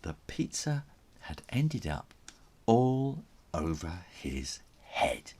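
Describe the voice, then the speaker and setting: A man's voice: deep voice, big pitch swings, very slow, a little mellow, slightly rough. A man reading a children's story aloud as its author, theatrical and suspenseful, drawing out his words.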